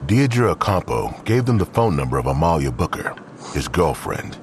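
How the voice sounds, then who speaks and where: A man's voice: a bass voice, very animated, normal speed, noisy background. A man narrating a scripted crime audio drama as its storyteller.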